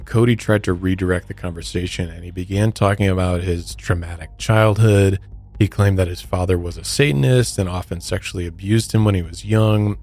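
A man's voice: low-pitched, a little mellow. A man hosting a podcast, telling a true crime story in a conversational way. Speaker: podcast host.

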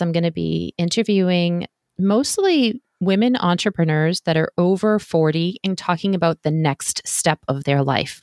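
A woman in her forties with a sweet, low-pitched voice talks earnestly about her work as a podcast producer. She sounds upbeat and laughs easily. The recording is dry and close, with no room echo. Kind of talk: casual interview conversation.

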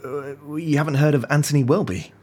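An actor playing an eager, ambitious intelligence agent with a self-satisfied manner in an audio drama. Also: male voice, fairly high pitch, steady tone, fairly quick, darkish tone, breathy edge. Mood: smug, excited.

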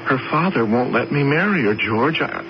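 A man's voice: medium pitch, expressive, moderate pace, natural voice. An actor playing a penniless, lovesick young man in a radio drama, helpless, plaintive and stammering. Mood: desperate. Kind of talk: 1970s radio drama acting. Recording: muffled, noisy old radio recording.

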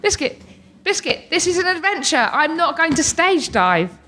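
High, animated British woman with verbal tics. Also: moderate pace, very noisy. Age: 30s. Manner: playful, frequent involuntary verbal tics interrupting speech. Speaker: live storyteller and artist. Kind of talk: comic live storytelling to an audience. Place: live storytelling event with an audience.

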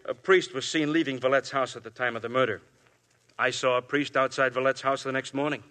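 A man's voice: medium pitch, steady tone, fairly quick, a bit bright, close to an everyday voice. A man playing a shrewd, persistent police inspector in a 1950s radio drama, polite but pressing. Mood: probing.

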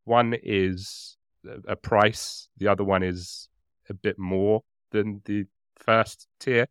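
Man, clear-voiced, rambling podcast co-host. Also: on the low side, steady tone, slow pace, no echo, studio quality. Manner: hesitant, rambling, jokey. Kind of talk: casual chat.

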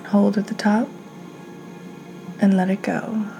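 A woman leads a guided meditation in a soft, calm voice. Her pace is slow and her pitch stays very even. The tone is mellow and gentle, often close to a breathy whisper. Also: faint music behind, rough recording. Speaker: podcast host, meditation guide.

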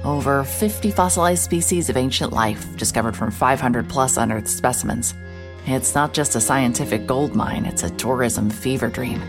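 An actress playing a wry, hard-hearted private investigator narrating a noir audio drama in a dry, deliberate way. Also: female voice, extremely low pitch. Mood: wry, brooding.

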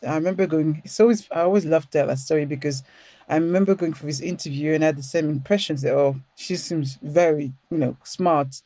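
A middle-aged woman with a French accent speaks as a guest on a podcast, animated and quick to laugh. Her voice has a mellow, dark tone. It sounds dry and close to the mic. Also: low voice, dry recording. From France. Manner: animated, laughs often, frequent restarts. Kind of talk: casual storytelling interview.

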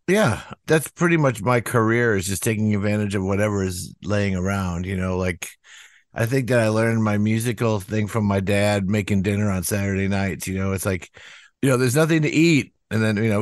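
An older man musician talks in a loose, rambling way, stacking up "you know"s and slipping into higher voices when he quotes people. His voice sits low. The sound is dry and close. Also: fairly quick, dry recording. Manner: rambling, many "you know" fillers, acts out quotes in a raised voice. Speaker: musician guest. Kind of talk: casual interview talk.

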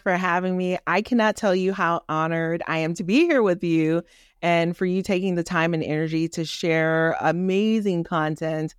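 A woman podcast guest tells personal stories conversationally, earnest and emphatic. Her voice is very clear and smooth, on a close, dry recording. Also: on the low side, dry recording. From born in Haiti, raised in Miami. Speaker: podcast guest, doctor and rental operator.

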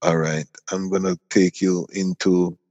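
A man in his forties, born in Jamaica and raised in Canada, telling his story as an interview guest in an unhurried, reflective way. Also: low voice, flat delivery, a little mellow, dry recording, long reverb tail, highs cut off. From Canada (Toronto), born in Jamaica. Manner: unhurried, reflective, frequent ums. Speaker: guest, music conference founder.

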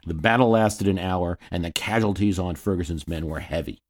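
A man's voice: low voice, fairly quick, darkish tone. A man narrating history in a storytelling way, dropping in wry asides.

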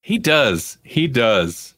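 A man's voice: medium pitch, very animated, extremely slow, a bit bright, dry recording, clean studio sound. A man hosting a casual pop culture talk show in a wry, conversational way. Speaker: podcast host.

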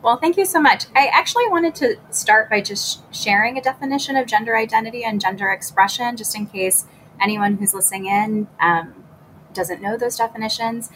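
A woman speaking on a video call panel, giving a clear, measured explanation. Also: fairly high pitch, fairly bright tone, a little hiss or hum, rough recording.

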